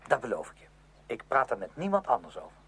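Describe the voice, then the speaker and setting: An actor playing a headstrong, outspoken young music student in a Dutch radio play, speaking emphatically and with defiance. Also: male voice, medium pitch, big pitch swings, quick pace, a bit bright, husky, full character voice. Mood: indignant. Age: young adult. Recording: noisy old radio drama recording.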